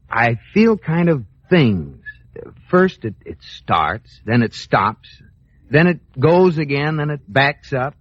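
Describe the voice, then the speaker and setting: Fretful, husky jealous husband. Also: male voice, a little high, no echo, full character voice. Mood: fretful, hesitant. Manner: hesitant, stammering complaints. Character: fretful jealous husband playing sick in bed. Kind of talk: 1940s-50s radio comedy acting. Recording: muffled old radio broadcast.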